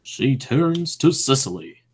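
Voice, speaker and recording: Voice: medium pitch, big pitch swings, slow, a little mellow, husky. A narrator reading scripted stage directions for a radio play.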